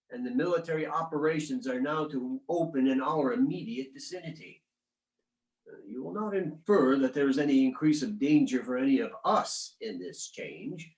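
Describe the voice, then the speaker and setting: A man reading as a 19th-century statesman writing solemn letters to his wife, in a formal, measured and occasionally halting delivery. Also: medium pitch, a little mellow, natural voice. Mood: solemn, reassuring. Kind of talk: dramatic reading of historical letters. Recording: echoey, roomy sound.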